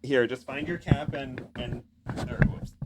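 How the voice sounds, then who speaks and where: A man's voice: mid-range voice, measured pace, noisy background. A man co-hosting a podcast, chatting casually and reacting with playful, joking interjections. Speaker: podcast co-host.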